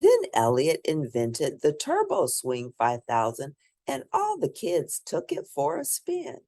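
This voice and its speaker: A woman reading a children's picture book aloud, expressively, with theatrical, drawn-out emphasis for young listeners. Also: deep voice, huge pitch swings, gravelly, dark tone, no echo, clean studio sound. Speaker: storybook reader.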